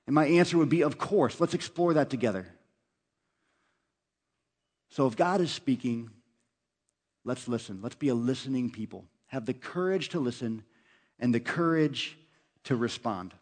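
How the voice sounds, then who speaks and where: An earnest man preaching from the pulpit, leaning on repetition as he urges his listeners on. His voice sits at an ordinary middle pitch, steady and sincere. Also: normal speed, pauses often. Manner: earnest, urging, repeats phrases for emphasis. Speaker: pastor. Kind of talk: sermon.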